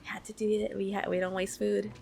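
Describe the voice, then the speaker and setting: A woman's voice: medium pitch, little pitch movement, fairly quick, vocal fry, dark tone. A woman streaming a game, chatting casually over the gameplay.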